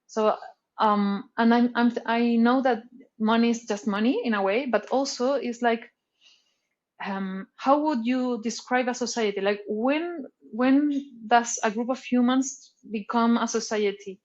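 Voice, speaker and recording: A woman's voice: fairly high pitch, measured pace, a little mellow, dull audio. A woman from Chile, a guest in a casual interview, thinking aloud and searching for words. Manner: thoughtful, searching for words with many "like"s and restarts. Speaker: artist guest.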